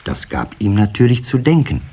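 Ein Mann erzählt als Sprecher eines Kinderhörspiels eine vorbereitete Geschichte, mit rauer Stimme. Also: male voice, on the low side, wildly swooping pitch, normal speed, background noise. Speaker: narrator. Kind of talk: scripted children's storytelling.

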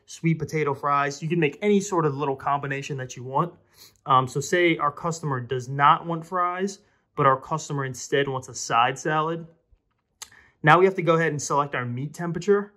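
A man's voice: a little high, bright, forceful tone. A man presenting an informal tutorial, explaining a product step by step and talking directly to viewers. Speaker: presenter of a restaurant equipment tutorial.

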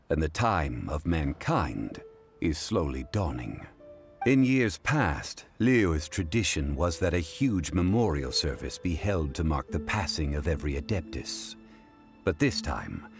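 A man playing a dignified, learned gentleman in a fantasy video game, speaking in a formal, measured and solemn way. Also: low voice. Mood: grave, solemn. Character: dignified, learned young-looking male funeral parlor consultant. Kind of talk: video game character dialogue. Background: music.

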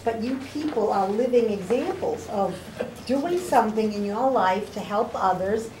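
A woman's voice: medium pitch, normal speed, a little mellow. A woman from the audience speaks up earnestly, pressing her point. The room echoes. Noise hums behind her. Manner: earnest, emphatic. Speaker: audience member. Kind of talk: casual comment.

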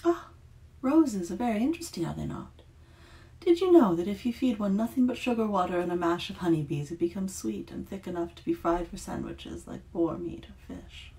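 A reader voicing a chatty, curious kappa in a storybook reading, with a gravelly tone. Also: mid-range voice, lively intonation, moderate pace, dark tone, voice pushed up, close to an everyday voice, low-quality recording.

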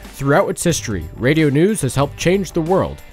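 Lively American male narrator over music. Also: fairly high pitch, moderate pace. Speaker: documentary narrator. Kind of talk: scripted narration.

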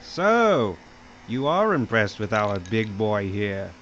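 Guy playing a smooth talking sleazy salesman boss, audio drama, bit muffled and hissy. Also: fairly low pitch, sing-song, takes it slowly, a bit bright, full character voice. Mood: flirtatious, smug. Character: slick, flirtatious male boss and salesman. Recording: dull, slightly noisy recording.

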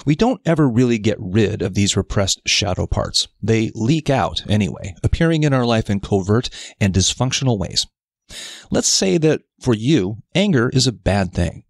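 A man reading a scripted self-help article as narrator, in a measured, deliberate, instructive way. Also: lowish voice, sing-song, dry recording, studio quality.

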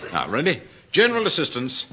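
An actor playing a fussy, flustered English civil servant who exclaims a lot, in a radio sitcom. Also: male voice, on the high side, huge pitch swings, fairly quick, crowd noise. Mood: brisk. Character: fussy, flustered middle-ranking male British civil servant.

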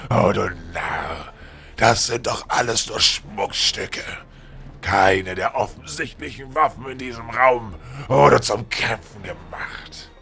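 A man playing a monstrous, cunning old castle lord, gravelly, menacing and mocking, in a fantasy audio drama. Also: on the low side, takes it slowly, bright, forceful tone, exaggerated voice.

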